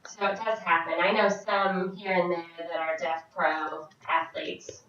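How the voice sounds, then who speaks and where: A woman voicing a signed question-and-answer vlog as an interpreter, speaking in short, halting phrases with frequent restarts. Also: lowish voice, level tone, fairly bright tone, slight background noise. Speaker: sign language interpreter voicing a Deaf vlogger. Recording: very echoey, distant, dull sound.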